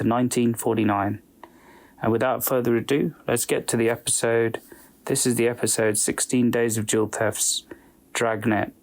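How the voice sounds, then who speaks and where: Man, podcast host, medium pitch, semi-scripted introduction, informal, measured explaining.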